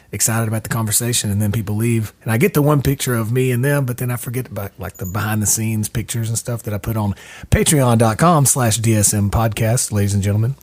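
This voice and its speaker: Casting note: man, podcast host, low-ish voice, relaxed rambling home-studio chat. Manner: easygoing, rambling with fillers. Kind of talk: casual chat.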